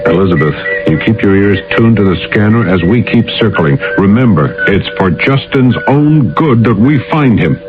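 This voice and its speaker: Deep male official, acted by a man, gruff and in command, animated delivery, vintage radio drama sound. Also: moderate pace, few pauses, phone-quality sound, close to an everyday voice. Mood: brisk, commanding. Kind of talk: 1980s radio drama acting. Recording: band-limited old radio broadcast with music.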